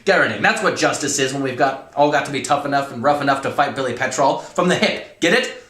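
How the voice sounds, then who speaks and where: Storybook colonel, played by a man: loud exasperated bully, bright strained pitch, echoey room. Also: quick pace, natural voice. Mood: jeering, ranting. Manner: shouting, exasperated, badgering interrogator. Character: furious, bullying, big military colonel barking at cadets.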